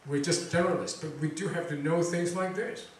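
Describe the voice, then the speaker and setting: A man's voice: fairly high pitch, fairly even intonation, a little fast, slight background noise. A man hosting a talk event, chatting casually and quizzing the audience with lots of fillers. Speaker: event host introducing a speaker. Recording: very echoey room recording from far off.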